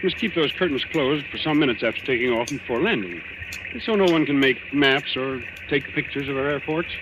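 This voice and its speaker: An actor playing a breezy, reassuring, gentlemanly naval commander, brisk and polite, in 1940s radio style. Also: male voice, mid-range voice, dull audio, noisy background, close to an everyday voice. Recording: old radio recording, loud music.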